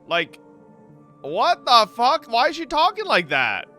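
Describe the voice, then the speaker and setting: A woman streaming game reactions. Her delivery is casual, blunt and sweary, with hugely swinging pitch. Faint music sits behind her. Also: wildly swooping pitch, slow, sharp tone.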